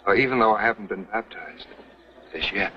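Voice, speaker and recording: An actor playing a slick, glib young counterfeiter with evasive, smooth talk. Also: male voice, lowish voice, a little fast, lightly acted. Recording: dull old TV soundtrack with faint hiss.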